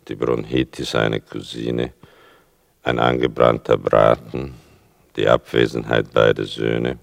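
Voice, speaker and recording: Very deep, gravelly storytelling son. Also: male voice, dry recording, clean studio sound, exaggerated voice. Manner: deliberate, wry, dwells on small details. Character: writer son narrating his mother's story, wry, pedantic. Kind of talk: literary radio-play narration.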